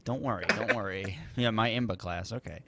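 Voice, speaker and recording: A man's voice: on the low side, a little fast, gravelly, pushed and bright. A man hosting a casual gaming podcast over a voice call, laughing often. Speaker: podcast host.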